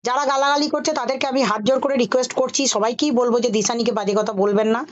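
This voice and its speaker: Woman, high voice, rapid delivery; insistent mediator on a muffled phone call. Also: steady tone, slight background noise. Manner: insistent, lecturing, often interrupting. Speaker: mediator questioning others on a group call. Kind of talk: heated phone conversation. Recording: muffled call recording, voices crowding behind.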